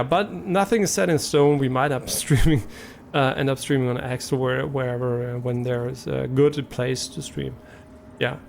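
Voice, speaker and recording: A man's voice: medium pitch, gravelly, a little mellow, slight background noise. A man from Germany, a native German speaker with a German accent, chatting casually and a bit hesitantly to viewers as a live streamer, thinking aloud as he goes.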